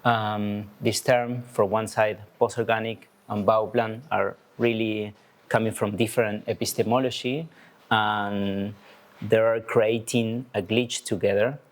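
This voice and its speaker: A man from Argentina, an artist with a biology background, explaining concepts in a talk, halting and searching for words. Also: lowish voice, little pitch movement. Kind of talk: presenting a talk to an audience.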